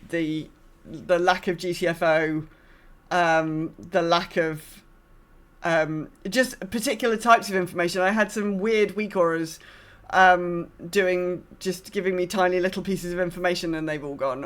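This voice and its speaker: A woman's voice: lowish voice, fairly even intonation, moderate pace. A woman co-hosting a gaming podcast in casual chat, thinking aloud in a hesitant, hedging way. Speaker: podcast co-host.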